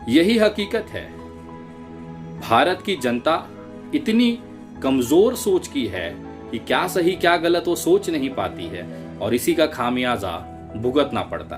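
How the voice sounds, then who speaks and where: Emphatic man with swooping pitch over music. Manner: emphatic, rhetorical, indignant. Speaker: political commentator. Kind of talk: opinion commentary to viewers. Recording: music bed under the voice. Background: background music.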